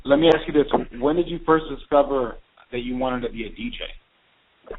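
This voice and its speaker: A man's voice: medium pitch, moderate pace. A man interviewing a guest, asking questions with brief, encouraging prompts. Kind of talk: phone interview. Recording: tinny phone line.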